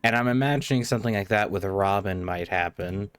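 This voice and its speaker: A man's voice: lowish voice, brisk, fairly bright tone, clean tone. A man giving casual live game commentary as a streamer, blunt and sardonic.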